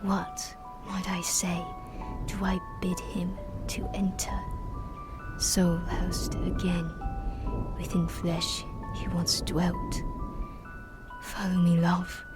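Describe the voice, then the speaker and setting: A woman's voice: fairly low pitch, monotone, slow pace, pauses often. Casting: a solemn, uncanny boy reciting gothic verse, whispery and drawn out, over music. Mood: eerie, mournful. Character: eerie, solemn young boy reciting a mournful poem. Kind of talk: slow, haunting verse recital in gothic audio drama. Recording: music behind the voice.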